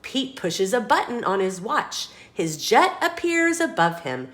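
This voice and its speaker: A woman reading a children's picture book aloud as a storytime host, expressive and dramatic, putting questions to young listeners. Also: fairly high pitch, a little slow, slight background noise.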